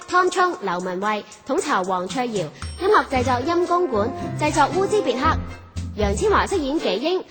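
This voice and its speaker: A woman with a Hong Kong Cantonese accent reading a scripted list of drama credits as an announcer. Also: high-pitched. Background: background music.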